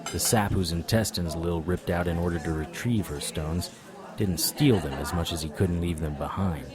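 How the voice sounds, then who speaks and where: An actor playing a hard-bitten male monster hunter, narrating noir-style in first person with dry, wry delivery. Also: deep voice.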